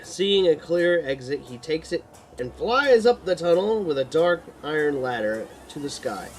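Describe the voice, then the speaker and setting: A man's voice: a little high, lively intonation, measured pace, soft background music, rough recording. A man reading his own book aloud in scripted narration, stumbling over and re-reading the odd word. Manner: stumbles and re-reads words.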